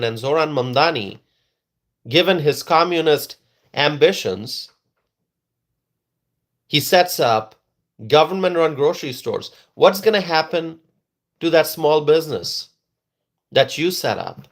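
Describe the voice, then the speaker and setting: Man, Bengali first language, somewhat high voice, emphatic opinion monologue, repeats words for stress. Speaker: political commentator.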